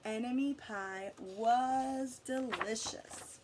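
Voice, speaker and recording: A woman reading a picture book aloud expressively to children, pausing to guide them with questions. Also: on the high side, noisy background. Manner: pauses to question and guide young listeners. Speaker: read-aloud storyteller for children.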